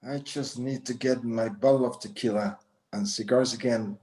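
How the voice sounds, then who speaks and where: A man playing a stern, gentlemanly old self-appointed lawman in a screenplay table read, terse and commanding. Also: medium pitch, fairly even intonation, moderate pace, a little hiss or hum, close to an everyday voice. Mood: confident. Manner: commanding, terse, switches into Spanish.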